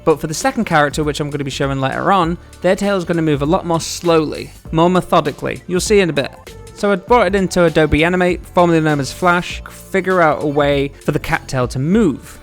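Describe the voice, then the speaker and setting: A man's voice: a little high, brisk, faint music behind. A man narrating a casual devlog, explaining things step by step in an informal, chatty way. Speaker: solo game developer and devlog host.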